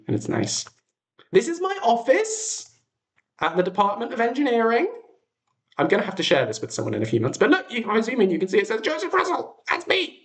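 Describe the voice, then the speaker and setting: A young British man hosting a livestream, chatting casually to viewers in an enthusiastic, whimsical way. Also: high voice. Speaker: livestream host.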